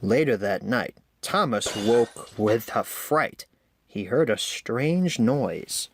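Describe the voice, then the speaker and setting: A man narrating a children's story in a measured storytelling manner. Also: medium pitch, expressive, husky, no echo. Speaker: amateur story reader.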